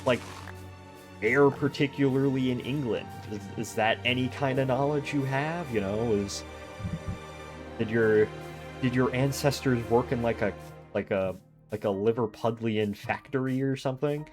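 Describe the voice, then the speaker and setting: A man's voice: mid-range voice, a little slow, over music. An American man streaming a game, chatting casually and thinking aloud in long digressions. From United States. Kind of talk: casual chat while gaming.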